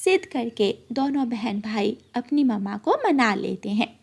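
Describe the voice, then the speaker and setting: A woman's voice: fairly high pitch, sing-song, unhurried, vocal fry, darkish tone, slightly rough. A woman narrating a scripted moral story for children as the storyteller.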